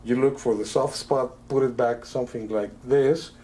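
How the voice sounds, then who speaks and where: A man's voice: mid-range voice, fairly even intonation, mellow, slight background noise. Chatty magician man. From Italy. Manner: chatty showman, halting with frequent fillers. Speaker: magician guest. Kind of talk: live rope trick patter.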